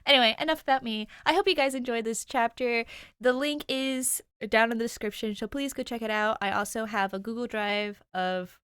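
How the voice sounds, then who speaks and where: A woman's voice: fairly high pitch, fairly even intonation, a little fast, fairly bright tone, clear, resonant tone, no room sound, studio quality. A young adult woman reading fiction aloud and chatting informally as host of her reading channel. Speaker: podfic reader and channel host. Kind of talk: story narration and chatty sign-off.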